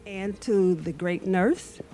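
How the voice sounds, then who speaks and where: A woman's voice: medium pitch, slow pace, warm tone. A woman hosting a local TV talk show, interviewing guests in an upbeat, enthusiastic manner. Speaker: TV show host. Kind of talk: friendly interviewing.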